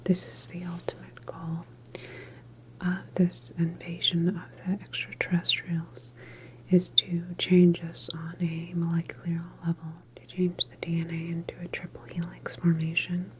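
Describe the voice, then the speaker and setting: A woman performing an ASMR medical roleplay as a calm government doctor, speaking in a deliberate, reassuring clinical manner. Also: lowish voice, poor audio. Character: calm female government doctor examining a patient.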